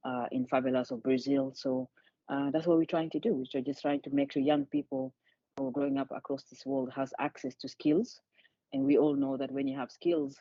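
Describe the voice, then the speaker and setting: A woman talks earnestly as an interview guest, often repeating words as she restarts. Her voice is mellow and warm. The sound is dry and a little dull, as if lo-fi. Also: extremely low pitch, no echo. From Senegal. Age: 50s. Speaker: charity founder, guest. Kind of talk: conversational interview.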